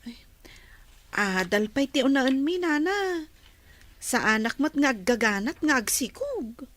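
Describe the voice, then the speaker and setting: A woman's voice: sits high, animated, measured pace, fairly bright tone, no room sound. A woman playing an earnest, respectful young village student in an Ilocano radio drama.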